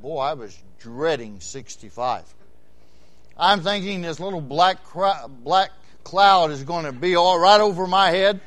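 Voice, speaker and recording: Sixties male Baptist preacher, high-pitched, rhythmic repetition, loud emphatic peaks. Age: 60s. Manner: preaching with big swings between quiet asides and loud shouted emphasis; repeats phrases in rhythmic runs. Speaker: Baptist pastor. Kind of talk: sermon.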